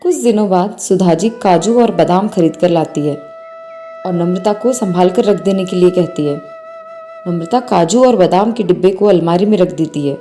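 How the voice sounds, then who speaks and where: A woman's voice: fairly low pitch, moderate pace, warm tone. A woman storyteller reading a scripted bedtime moral story. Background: faint music.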